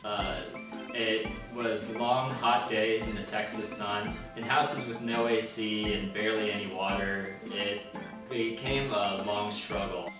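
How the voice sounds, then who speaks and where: A man's voice: fairly low pitch, steady tone, slow pace. A man earnestly recounting the hard making of his album in a documentary interview. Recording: very echoey room, distant mic, loud music underneath.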